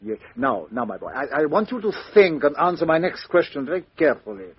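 A man's voice: on the high side, very animated, fairly quick, slightly rough, heavily acted. A man playing a fussy, precise foreign detective, in a dull-sounding old radio drama recording. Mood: probing. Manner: precise, hesitant, inquisitive. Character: fussy foreign male detective, Belgian, middle-aged. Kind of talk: 1950s radio drama acting.

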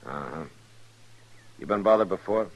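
An actor playing a calm, wry frontier lawman, laconic and dry, in an old radio western. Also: low-pitched, normal speed, highs cut off. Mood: measured. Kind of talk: 1950s radio western acting. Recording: faintly noisy old radio recording.

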